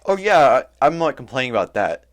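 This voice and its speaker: A man's voice: mid-range voice, very animated, a little fast, no room sound. A young man co-hosting a podcast in casual chat, speaking in slang with some swearing. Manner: slangy, swearing, brief replies. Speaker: podcast co-host.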